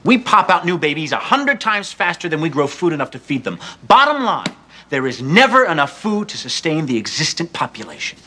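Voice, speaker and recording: An actor playing a cynical man who lectures grimly, declaiming with dramatic pauses. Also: fairly high pitch, big pitch swings, sharp tone, slight background noise. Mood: grim lecturing.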